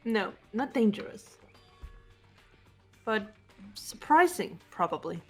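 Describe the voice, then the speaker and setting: A woman playing an aloof, guarded teenage girl with a cool, measured, curt delivery in an amateur video game dub. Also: mid-range voice, sing-song, breathy edge, full character voice. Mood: cautious. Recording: music behind the voice.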